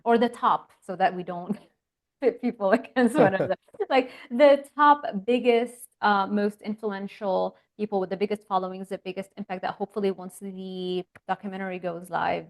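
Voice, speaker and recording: A woman's voice: mid-range voice, fairly even intonation, moderate pace. A woman who grew up in Lebanon, hosting an interview and chatting in a friendly way that laughs easily. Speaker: podcast host. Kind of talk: casual interview conversation.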